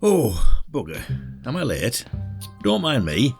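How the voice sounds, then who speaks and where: An actor playing a blunt, shrewd British police detective in an audio drama, with a colloquial, needling delivery. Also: male voice, mid-range voice, huge pitch swings, unhurried, faint music behind. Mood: brash, bumbling. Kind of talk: radio drama acting.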